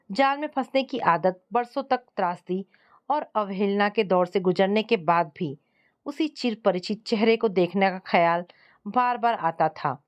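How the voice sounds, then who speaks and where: Female story narrator, mid-pitched, scripted first-person domestic drama. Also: lo-fi sound.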